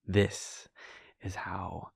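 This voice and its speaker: A young man playing a laid-back, wry vampire prince boyfriend in an intimate audio roleplay, telling a story in a hushed, halting, amused way. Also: low voice, level tone, vocal fry, slightly rough, no room sound, heavily acted. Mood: sardonic, reminiscing. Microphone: close, dry studio microphone.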